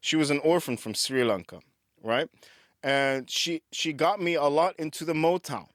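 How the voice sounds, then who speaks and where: A man's voice: on the high side, normal speed, fairly bright tone, no echo, clean studio sound. A man in his forties from Dubai, a musician guest telling his story in a loose, rambling way. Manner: loose and rambling, laughs at himself. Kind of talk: casual rambling chat.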